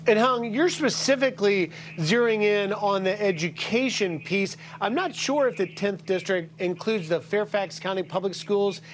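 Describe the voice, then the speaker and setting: Man, news anchor, high voice, brisk questions. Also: a little hiss or hum. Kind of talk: TV news interview.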